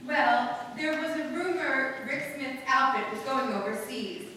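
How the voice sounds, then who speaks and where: A woman playing an eager young assistant to a detective in a live old-time radio drama, quick to chime in. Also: sits high, bright, forceful tone. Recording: echoey hall from far off, faint hiss.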